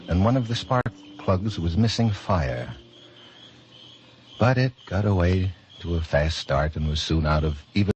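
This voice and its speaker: Blind detective captain, deep voice, lively intonation, courteous, deliberate, vintage radio mystery, dull band-limited sound. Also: male voice, noisy background. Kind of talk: old-time radio detective drama acting. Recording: old radio broadcast with music behind, muffled.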